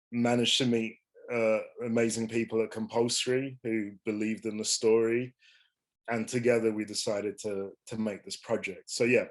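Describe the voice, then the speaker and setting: Young man, from Rwanda, lowish voice, relaxed, halting with fillers, remote call. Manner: relaxed, hesitant, many fillers and restarts, laughs at times. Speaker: filmmaker guest. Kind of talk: interview. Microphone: remote call from London.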